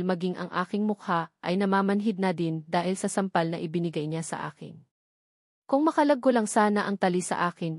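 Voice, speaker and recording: A woman's voice: fairly low pitch, a little mellow, dry recording, clean studio sound. A woman reading a scripted novel aloud as a first-person story narrator. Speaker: audiobook narrator.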